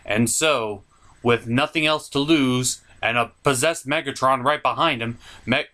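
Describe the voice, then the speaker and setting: A man's voice: mid-range voice, measured pace, pushed and bright. A man hosting a video review, giving enthusiastic, theatrical commentary with emphatic, stretched-out words.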